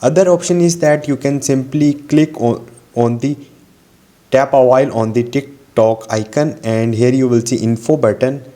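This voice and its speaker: Man, tutorial host, soft-edged mellow tone, step-by-step instructions, simple non-native phrasing. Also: mid-range voice, moderate pace.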